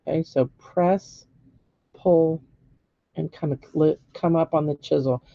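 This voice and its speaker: A middle-aged woman with a hoarse voice, giving a live painting lesson step by step and checking in with viewers. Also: low-pitched, steady tone, slow pace, no room sound, lo-fi sound. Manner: patient step-by-step instruction with frequent "okay?" checks. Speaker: painting teacher. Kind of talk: live-streamed painting demonstration.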